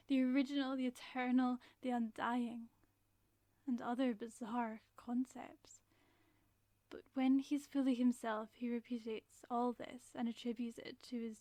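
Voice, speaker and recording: An actress playing a haunted woman professor who solemnly narrates a grave warning in a 1930s radio drama, with formal, deliberate phrasing. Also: fairly high pitch, darkish tone, no echo.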